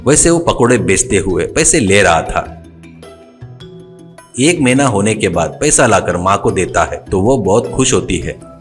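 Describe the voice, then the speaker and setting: Animated male storyteller over music. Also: fairly low pitch, unhurried. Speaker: story narrator. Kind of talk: scripted moral story narration. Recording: music under the voice. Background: background music.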